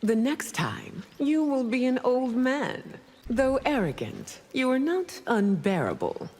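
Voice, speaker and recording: A woman's voice: high-pitched, big pitch swings, slow pace, a little mellow, heavily acted. A woman playing a regal, long-lived warrior empress, stately and disdainful in fighting-game dialogue. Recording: long reverberant hall echo.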